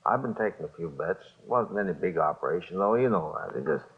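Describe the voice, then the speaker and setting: Nervous gravelly small-time bookie. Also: male voice, low voice, expressive. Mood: nervous, defensive. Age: middle-aged. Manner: halting, repetitive, nervous hedging. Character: jumpy small-time bookmaker confessing to police, pleading and evasive. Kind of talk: 1950s radio drama acting. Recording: dull old radio recording.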